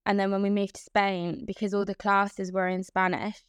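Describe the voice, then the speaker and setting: Young woman, even and level delivery, dry close sound; chatty interview guest. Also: medium pitch, moderate pace, clean tone, dry recording. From Hong Kong. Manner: chatty, with frequent fillers and restarts. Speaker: guest, events professional. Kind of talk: casual interview.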